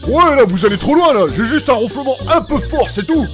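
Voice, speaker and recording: A man's voice: extremely high pitch, expressive, a little fast, fairly bright tone, faint music behind. A man playing a grumpy, defensive troll in a comic fantasy audio drama, blunt and slangy. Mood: sulky, defensive. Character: grumpy defensive male troll who snores.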